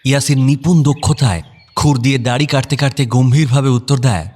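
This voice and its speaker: A man's voice: mid-range voice, fairly even intonation, a little fast, darkish tone, long reverb tail. A man giving dramatic scripted narration as the storyteller of an audio drama.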